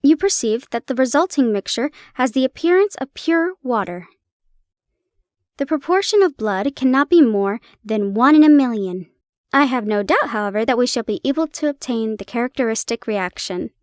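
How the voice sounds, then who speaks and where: A woman reading the part of an excitable male detective, exclaiming with fervor. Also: a little high, moderate pace, no echo, clean studio sound, lightly acted. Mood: eager. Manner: fervent, exclaiming.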